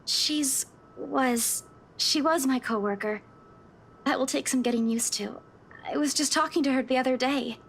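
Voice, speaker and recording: A woman playing a shaken, exhausted young office worker in a visual novel, speaking haltingly. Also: high-pitched, slight background noise. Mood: shaken, subdued. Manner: halting, hesitant delivery.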